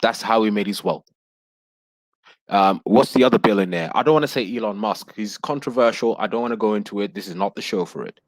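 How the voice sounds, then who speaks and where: A man's voice: fairly low pitch, brisk, dry recording, low-quality recording. A man in his thirties joining a casual panel discussion over a video call, earnest and formal, often calling others sir. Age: 30s. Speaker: panel guest.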